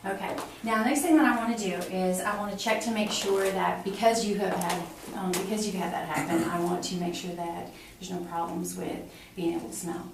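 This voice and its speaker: A woman's voice: on the low side, fairly even intonation, fairly quick, creaky, few pauses. A middle-aged woman giving a physical exam, politely explaining each step to her patient as she goes. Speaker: clinical examiner demonstrating a physical exam. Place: small cinder-block exam room, indoors. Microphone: camera mic from across the room. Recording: very echoey room, distant camera mic, noisy.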